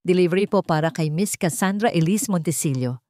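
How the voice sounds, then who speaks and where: A woman's voice: fairly low pitch, brisk, warm tone, dry recording, lightly acted. A woman voicing a deferential, matter-of-fact male delivery worker in a love story. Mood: polite. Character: polite, hesitant deliveryman in uniform.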